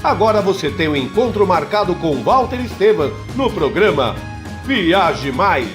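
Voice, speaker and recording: A man reading an energetic TV program promo. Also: sits high, unhurried, roomy sound, over music. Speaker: promo announcer. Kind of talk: TV promo announcement.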